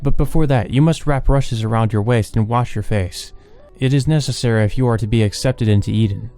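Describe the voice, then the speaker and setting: A man voicing a solemn angel who gives measured instructions in a storybook tale. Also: mid-range voice, mellow, faint music behind, close to an everyday voice. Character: solemn angel giving commands, lofty storybook guide.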